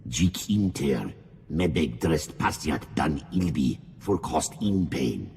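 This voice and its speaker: An actor playing a grave alien leader in a game cutscene, speaking slowly and solemnly in an alien tongue. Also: male voice, very deep voice, expressive, vocal fry, a little mellow, slight background noise, heavily acted. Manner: slow, deliberate, solemn. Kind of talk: video game cutscene acting. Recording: long reverb, music behind.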